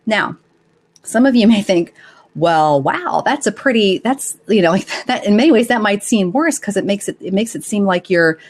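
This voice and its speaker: A woman's voice: medium pitch, animated, moderate pace. A woman hosting a live advice show, talking conversationally in an encouraging, explanatory way to her audience. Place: live online call-in broadcast.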